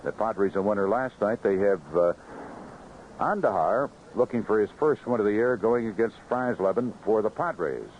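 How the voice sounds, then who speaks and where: An American man giving a seventies baseball radio report in a measured, conversational way. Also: fairly low pitch. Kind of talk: 1970s radio scoreboard report and sponsor reads. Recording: dull, faintly noisy 1970s AM radio.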